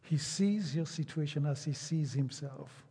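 Husky slow-preaching man. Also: on the high side, warm tone, long reverb tail. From Nigeria. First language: Yoruba. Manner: slow, emphatic preaching with drawn-out phrases and repetition. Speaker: pastor. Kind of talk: sermon.

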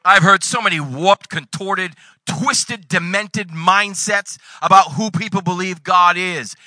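A man in his forties preaching a sermon, reading scripture and breaking into sudden loud bursts. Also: high voice, a little slow, dry recording, clean studio sound. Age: 40s. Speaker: pastor.

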